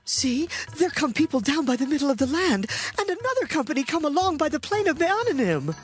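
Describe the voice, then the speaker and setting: Alarmed ancient rebel, very high swooping pitch, excited calls, scripture drama, faint music. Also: male voice, brisk. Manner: excited, calling out. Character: alarmed male rebel lookout of biblical times.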